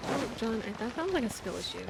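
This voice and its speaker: A woman's voice: fairly high pitch, big pitch swings, quick pace, gravelly, heavy background noise. A woman livestreaming a game, chatting casually and reading the on-screen text aloud. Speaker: streamer. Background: game music.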